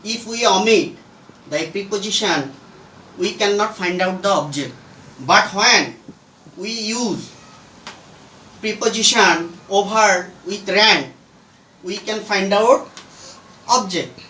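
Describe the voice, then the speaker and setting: A man teaching a grammar lesson at a board, speaking in deliberate, halting, emphatic phrases, recorded from a distance in an echoey room. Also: sits high, sharp tone. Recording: roomy echo, distant mic, faint noise.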